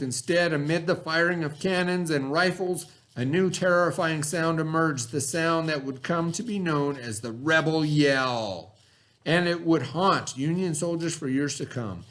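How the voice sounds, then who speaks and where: Higher-voiced man reading aloud as a teacher. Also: fairly bright tone. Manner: explanatory, pausing to gloss words and ask questions. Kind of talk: educational read-aloud with asides and questions.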